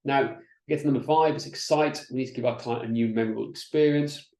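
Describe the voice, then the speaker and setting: Man, middle-aged, business coach, mellow voice, chatty webinar delivery, roomy echo. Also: medium pitch, quick pace. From Wales. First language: Welsh. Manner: energetic, chatty, with frequent restarts and "right?" checks. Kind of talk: presenting slides in an online masterclass.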